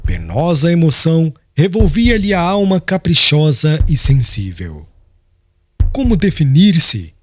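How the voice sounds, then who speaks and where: A man's voice: on the high side, huge pitch swings, slow pace, dry recording, soft background music. A man narrating an audiobook in a solemn, literary, dramatic style.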